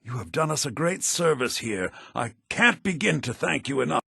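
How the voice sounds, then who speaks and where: An actor playing a scholarly, theatrical headmaster of a bards' college in a fantasy video game, speaking in a formal, declamatory manner. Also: male voice, medium pitch, big pitch swings, normal speed, gravelly, bright, forceful tone, slightly rough, no echo, clean studio sound, exaggerated voice. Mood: thoughtful. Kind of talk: fantasy video game character dialogue, with verse recitation.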